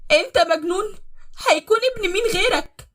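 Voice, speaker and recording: A woman's voice: high voice, animated, moderate pace, bright, forceful tone, pitched above the performer's own voice. A woman with an Egyptian accent voicing a beaten young wife who cries and pleads desperately. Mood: pleading, crying. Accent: Egyptian Arabic. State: crying.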